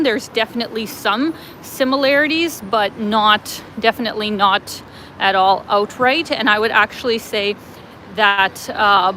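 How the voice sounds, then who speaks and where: A woman's voice: medium pitch, sharp tone, poor audio. Chatty woman livestreaming on a city street. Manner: chatty, lots of fillers, laughs often. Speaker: travel vlogger reading live chat. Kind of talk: casual livestream chat while walking. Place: outdoors on a city street, light rain. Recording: clear street noise behind. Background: city traffic and passing vehicles.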